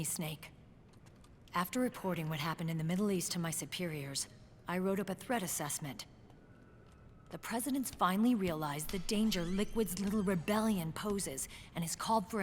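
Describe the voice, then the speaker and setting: Actress as female commander for a game cutscene: lowish voice, brisk, authoritative, over noisy ambience. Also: close to an everyday voice. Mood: businesslike. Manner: assured, commanding. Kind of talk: video game cutscene acting. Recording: clear background noise and ambience.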